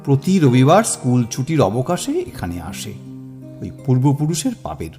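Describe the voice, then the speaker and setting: An actor playing a worldly older mentor in a Bengali radio drama, speaking with a Bengali accent and a slow, dramatic delivery. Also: male voice, medium pitch, huge pitch swings, warm tone, faint music behind. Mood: measured. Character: worldly older man, storyteller, mysterious. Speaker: older male mentor figure called Miska.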